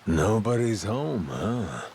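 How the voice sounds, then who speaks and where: Wildly animated, gravelly pirate captain. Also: male voice, lowish voice, slow, slight background noise, heavily acted. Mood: casual, wary. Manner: folksy drawl with slangy grammar. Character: brash, carefree male pirate captain, middle-aged yakuza. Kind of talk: video game cutscene acting. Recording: background music behind dialogue.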